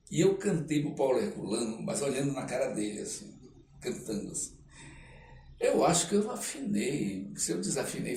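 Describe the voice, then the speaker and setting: Brazilian guy telling stories and doing the dialogue, kinda far from the mic, faint noise behind. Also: medium pitch. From Brazilian Amazon. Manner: animated, acts out dialogue, halting with "né" tags. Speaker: guest artist, actor telling life story. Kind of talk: casual anecdotal storytelling in a podcast interview. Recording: roomy echo, distant mic, faint noise.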